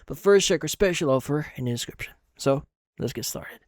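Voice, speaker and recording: A man's voice: a little high, lively intonation, brisk, dry recording. A man narrates a computer how-to as its host. He gives instructions one step at a time, with repeated phrasing. The sound is close and dry. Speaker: tutorial host. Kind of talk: screen-recorded software tutorial narration.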